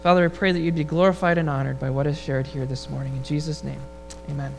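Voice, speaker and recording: A man with a high, rather feminine-sounding voice, preaching a relaxed, humorous sermon and leading prayer before a congregation. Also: fast talker, vocal fry, music in the background, low-quality recording. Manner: relaxed, storytelling delivery with humor. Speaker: pastor. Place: church sanctuary before a congregation.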